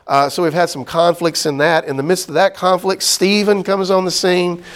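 A man's voice: high voice, level tone, moderate pace. A middle-aged man, teaching a Bible class in a loose, conversational way with many fillers and restarts. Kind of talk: casual Bible study lecture.

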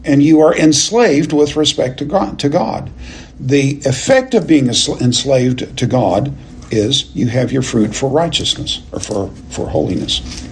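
A man teaching a Bible class verse by verse, speaking in a deliberate, halting and emphatic way and putting questions to his listeners. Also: mid-range voice, slight background noise. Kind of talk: expository lecture to a class, verse by verse.

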